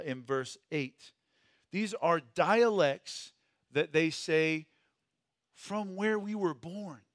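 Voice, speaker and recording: Male teacher, fairly high voice, informal and emphatic, question-led delivery, very dry recording. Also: fairly bright tone, dry recording. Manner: conversational, asks questions, emphatic key words. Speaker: Bible teacher leading a group study.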